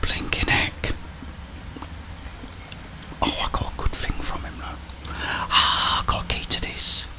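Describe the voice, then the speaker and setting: A man's voice: slow, long pauses. A middle-aged British man giving whispered ASMR commentary on a video game, muttering his thoughts aloud. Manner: muttering to himself, thinking aloud. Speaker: gaming streamer.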